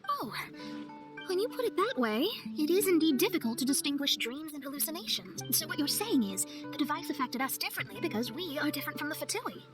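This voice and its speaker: A woman voicing an earnest, wistful young astrologer in a video game, speaking in a measured, theatrical way. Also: sits high, sing-song, over music. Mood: thoughtful. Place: studio, with music behind.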